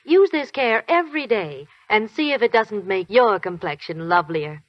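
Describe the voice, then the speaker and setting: An actress playing a chirpy housewife in a 1940s radio soap commercial, sweet and chatty. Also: female voice, mid-range voice, expressive, moderate pace, no echo, close to an everyday voice. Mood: coaxing. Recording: dry, muffled 1940s radio broadcast.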